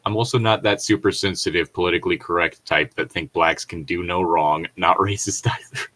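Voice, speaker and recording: A man with a fairly low voice talks as a podcast co-host. He mixes reading aloud with joking, nitpicking commentary. His delivery is casual and rambles as he thinks out loud. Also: fairly even intonation, fairly quick.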